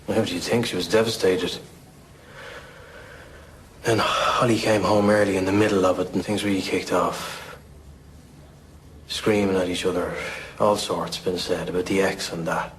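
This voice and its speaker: A man playing an evasive, self-justifying suspect in his thirties, speaking haltingly in a crime drama. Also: sits low, fairly even intonation, a little hiss or hum, lightly acted, low-quality recording. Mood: upset, defensive. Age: 30s. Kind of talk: naturalistic British crime drama acting.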